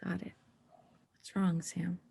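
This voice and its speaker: Husky, low-voiced teenage girl, acted by a woman. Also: little pitch movement, slow pace, dark tone, no room sound, full character voice. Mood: gentle. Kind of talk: screenplay table read.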